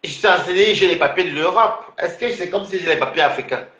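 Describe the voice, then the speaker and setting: A man's voice: sits high, fast talker, pushed and bright, reverberant, muffled recording. A man from Cameroon in a casual, rambling personal monologue, full of restarts and fillers. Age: adult.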